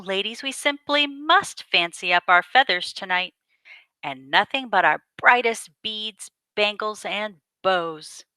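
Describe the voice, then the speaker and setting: A woman puts on a gossipy farm hen for a picture book, a vain and envious lady. She is fussy and gushing, swinging widely in pitch with a bright edge, recorded dry. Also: no room sound, full character voice. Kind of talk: picture book character voices.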